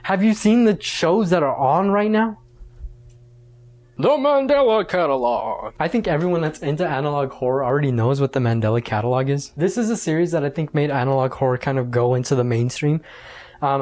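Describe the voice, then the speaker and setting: A man's voice: medium pitch, darkish tone. A man hosting a casual video essay, talking in an informal, conversational and slangy way.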